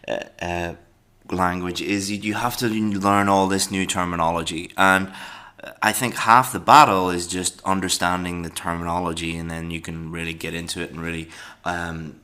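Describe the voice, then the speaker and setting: Low-voiced man teaching with many fillers. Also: sharp tone. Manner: explanatory, many fillers and restarts. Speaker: English exam teacher. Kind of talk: recorded online lesson.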